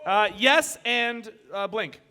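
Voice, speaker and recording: A man's voice: high-pitched, slow, pushed and bright, clear, resonant tone. A man trading casual, joking banter as a panelist in front of a convention audience. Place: convention meeting room with audience. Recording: live convention room recording.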